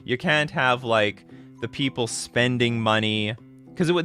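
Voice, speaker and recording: A man in his thirties hosting a casual livestream, answering viewer questions in a rambling, excitable way, often restarting his sentences. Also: medium pitch. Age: 30s. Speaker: livestream host.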